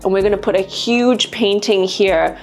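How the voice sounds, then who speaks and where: A woman's voice: medium pitch, normal speed. A woman vlog host from Singapore giving a casual, chatty house tour indoors, explaining things conversationally to camera. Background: background music.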